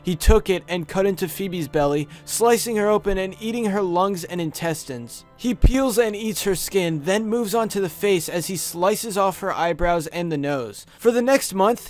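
High-pitched man narrating creepy stories. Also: a little mellow. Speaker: narrator, video host. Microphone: close studio USB microphone. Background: music behind the voice.

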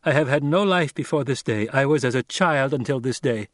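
A man's voice: medium pitch, a little fast, no room sound, clean studio sound, close to an everyday voice. A man reading a proud, earnest young man in an audiobook, speaking with firm determination. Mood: determined. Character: young male heir turned desert rider, proud and earnest.

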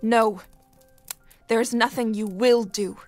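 An actress playing a sheltered, defiant young daughter, soft, earnest and emotional, in a period audio drama. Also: female voice, a little high, steady tone, slow pace, faint music behind. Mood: pleading.

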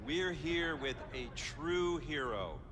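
High-pitched, gushing TV show host. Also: male voice, lively intonation, slow, background noise. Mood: showy, gushing. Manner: gushing, emphatic, repeats himself. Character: effusive, fawning male TV talk show host. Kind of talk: hammy broadcast talk show presenting.

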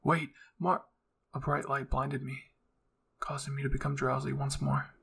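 A man's voice: medium pitch, monotone, moderate pace, gravelly, fairly bright tone, slight background noise. A man reading scripted fan fiction aloud as a storyteller. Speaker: storyteller, channel host.

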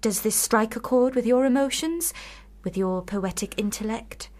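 An actress in a period radio drama playing a lovelorn, sharp-witted sister, speaking with formal, deliberate, cutting irony. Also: female voice, on the high side, close to an everyday voice.

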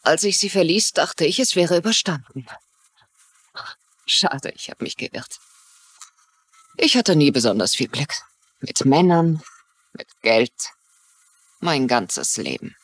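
A woman's voice: sits low, lively intonation, takes it slowly, long pauses, breathy edge, no echo, full character voice. A calculating culprit woman, bitter, matter of fact, tv crime drama, voice moves around a lot. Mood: bitter, resigned. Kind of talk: German-dubbed TV mystery drama.

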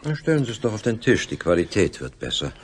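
An actor playing a smug, suave older managing director in a radio crime drama, speaking with polished condescension. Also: male voice, on the low side, very animated, a little hiss or hum. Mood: smug, controlling. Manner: condescending, polished, theatrically drawn-out phrasing.

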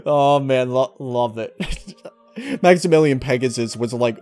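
A man's voice: medium pitch, measured pace, darkish tone. A man answering interview questions casually, in a rambling, hesitant and enthusiastic way. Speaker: channel host, amateur voice actor being interviewed. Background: music behind the voice.

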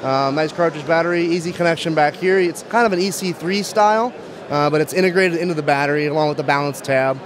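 A man demonstrates a product in an interview. His voice sits a little high and he talks fast, as a company representative would on a show floor. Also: crowd noise. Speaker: brand manager demonstrating a product. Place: busy trade show floor. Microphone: handheld interview microphone.

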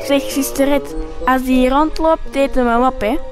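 A bickering, sharp-tongued nun , played by a woman with a Flemish accent. Her voice is high, in amateur audio drama style over clearly audible music. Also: steady tone, moderate pace, lightly acted. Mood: mischievous. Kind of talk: amateur radio play acting. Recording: loud music behind.

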